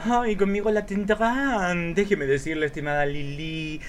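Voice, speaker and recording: Radio play role: florid, smitten filmmaker played by a man, higher male pitch, theatrical, slight background noise. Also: steady tone, unhurried. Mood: flattering, insinuating. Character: smitten, flamboyant B-movie filmmaker, courtly and lecherous. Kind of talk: campy radio play acting.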